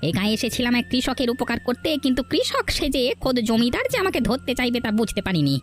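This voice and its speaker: A woman acts out a bold, kind-hearted bandit man in a cartoon folktale. The voice is high and very animated, with quick, urgent, dramatic delivery. Faint music plays behind it. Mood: bold, grateful. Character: hunted benevolent bandit man, grateful and bold. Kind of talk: Bengali cartoon folktale voice acting.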